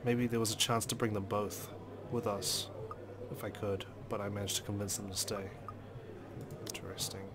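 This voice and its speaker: Low-pitched man narrating a visual novel. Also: little pitch movement, normal speed, noisy background. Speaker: let's play host. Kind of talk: dramatic first-person narration of a visual novel.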